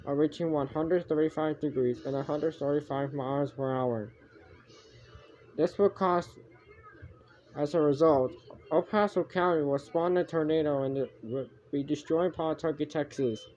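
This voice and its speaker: A man improvising homemade mock emergency broadcast announcements, haltingly, often repeating and correcting himself. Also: a little high, steady tone, darkish tone. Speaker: amateur narrator of a homemade emergency alert scenario. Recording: muffled homemade recording with background noise.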